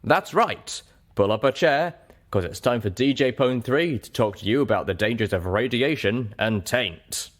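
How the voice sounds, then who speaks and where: A man's voice: mid-range voice, lively intonation, normal speed, lightly acted. A man voicing a female pony radio DJ, theatrical and folksy as she addresses her listeners with survival tips. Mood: showy, teasing. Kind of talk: radio survival-tip broadcast.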